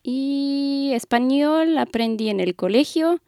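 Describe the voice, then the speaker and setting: A woman's voice: high-pitched, expressive, unhurried, warm tone, dry recording. A young woman from Hamburg, a guest on a radio show, answering questions in a friendly, slightly nervous way and laughing often. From Hamburg, Germany. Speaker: guest, psychiatry resident. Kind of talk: bilingual radio interview, casual. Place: radio studio.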